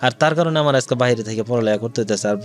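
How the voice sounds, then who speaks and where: A man's voice: medium pitch, very fast. A man with a Bangladeshi accent tells his own life story as an interview guest, in detail and with quoted dialogue.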